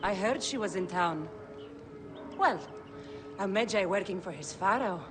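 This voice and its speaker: High-voiced, sly brothel keeper. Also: male voice, lively intonation, a little slow. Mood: sly teasing. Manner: salesmanlike, teasing. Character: sly, flamboyant male brothel keeper in ancient Egypt. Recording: long hall reverb under game music.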